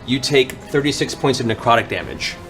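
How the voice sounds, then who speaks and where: A man's voice: medium pitch, brisk, fairly bright tone. A man narrating a tabletop fantasy game as game master, in a dramatic, descriptive way. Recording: music bed under the voice. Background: background music.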